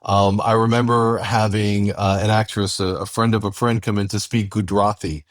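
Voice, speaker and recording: A man co-hosting a podcast, chatting casually and a little haltingly as he reminisces. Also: on the low side, steady tone, no room sound, clean studio sound. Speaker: podcast co-host.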